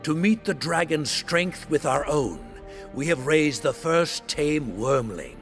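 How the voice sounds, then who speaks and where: Grave fantasy high priest, declamatory, measured pace, widely swinging intonation over music. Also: male voice, animated. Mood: proud, assured. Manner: ceremonial, declamatory. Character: solemn high priest of a dragon-hunting order. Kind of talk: dramatic fantasy game acting.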